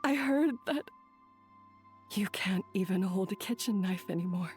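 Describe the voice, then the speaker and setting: An actress playing a proud but remorseful, self-doubting female head chef in a video game, speaking in an earnest, halting, dramatic way. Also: mid-range voice, expressive, faint music behind, close to an everyday voice. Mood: guilty, anguished.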